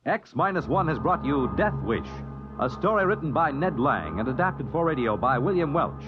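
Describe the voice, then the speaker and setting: A man's voice: on the high side, very animated, normal speed, dull audio. A man announcing formally from a script in a declamatory 1950s radio style, on an old broadcast recording. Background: music.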